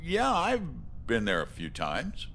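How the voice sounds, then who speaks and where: A man voicing an awkward, creepily ingratiating middle-aged suburban dad in a visual novel, halting and stammering. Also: a little high, huge pitch swings, a bit bright, a little hiss or hum, full character voice. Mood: nervous, ingratiating. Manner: halting, stammering, fumbling for words.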